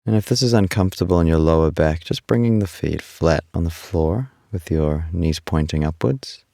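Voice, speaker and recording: A man with a remarkably deep voice leads a guided meditation. His tone is dark and relaxed with a gravelly fry, and he speaks soothingly with long, unhurried pauses. The sound is close and dry. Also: no room sound. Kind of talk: guided body scan meditation.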